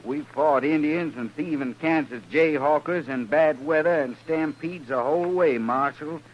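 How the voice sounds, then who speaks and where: An actor playing a stubborn, hostile cattle trail boss with a Western drawl and curt folksy speech in a 1950s radio western. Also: male voice, a little high, a little slow, muffled recording. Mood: defiant. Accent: American Western drawl. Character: stubborn, defiant Texan cattle trail boss, adult man. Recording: noisy old radio drama recording.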